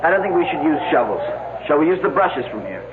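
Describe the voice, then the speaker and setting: An actor playing an earnest, careful young student on a dig in an old-time radio drama. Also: male voice, a little high, sing-song, quick pace, phone-quality sound. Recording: very noisy, thin old radio broadcast.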